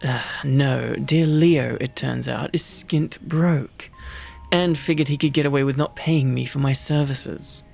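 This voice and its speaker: Gravelly, prickly wolfkin mercenary, acted by a man. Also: mid-range voice, steady tone, unhurried, a little mellow, soft background music. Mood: irritated, sardonic. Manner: gruff, sardonic, stammers when flustered. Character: prickly, easily flustered male wolfkin mercenary, secretly protective. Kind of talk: ASMR roleplay acting.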